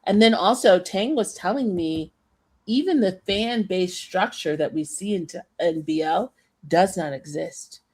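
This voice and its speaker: A woman with a lowish voice co-hosts a podcast, talking casually. She explains her views at length and leans on key words for emphasis. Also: unhurried, darkish tone, breathy edge. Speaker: podcast co-host. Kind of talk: casual chat.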